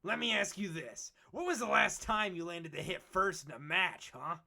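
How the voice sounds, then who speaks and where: Actor as veteran creature adventurer, brash, needling, slangy, cynical mentor, medium pitch, cartoon dub. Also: lively intonation, normal speed, creaky, bright, forceful tone, exaggerated voice. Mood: cocky, teasing. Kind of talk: animated comic dub acting.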